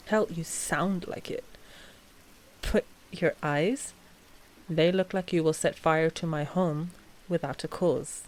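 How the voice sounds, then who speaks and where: A woman playing a cautious, principled vampire coven master in a scripted ASMR roleplay, measured and a little exasperated. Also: fairly low pitch, a little mellow, a little hiss or hum, low-quality recording. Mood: hesitant, uneasy.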